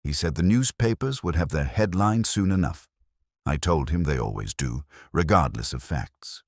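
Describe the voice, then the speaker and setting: A man playing a weary, erudite police inspector, narrating his case in a measured, melancholy, sardonic way as a literary audio drama. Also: extremely low pitch, expressive, no echo, studio quality, heavily acted. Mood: wry, weary.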